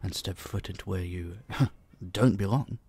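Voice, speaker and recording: A man voicing a possessive, centuries-old vampire in an intimate roleplay drama, slow and condescending, with a husky, breathy voice that drops into whispers. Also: low-pitched, darkish tone, heavily acted. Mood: mocking, weary. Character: possessive centuries-old male vampire, dominant, menacing captor.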